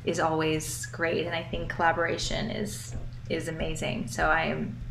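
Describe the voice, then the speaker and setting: A woman's voice: sits low, monotone, normal speed, vocal fry, fairly bright tone. A young woman singer-songwriter with a New York accent answering interview questions over a video call. She is upbeat and enthusiastic, often repeating a word as she gets a thought going. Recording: echoey room, faint background noise.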